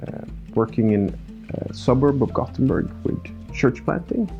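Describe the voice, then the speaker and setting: A man's voice: mid-range voice, animated, unhurried, mellow, dull audio, faint music behind. A Swedish man in his thirties with a Swedish accent and native Swedish, a pastor speaking earnestly in a personal documentary interview. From Sweden, countryside. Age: 30s. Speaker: pastor and church planter.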